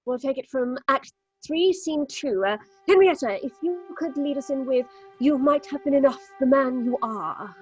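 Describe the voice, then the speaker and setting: High-voiced, imperious theatre director. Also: female voice, lively intonation, normal speed, lo-fi sound, faint music behind. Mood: brisk, commanding. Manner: condescending, theatrical, calls people darling and dear. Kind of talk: dramatic audio fiction acting.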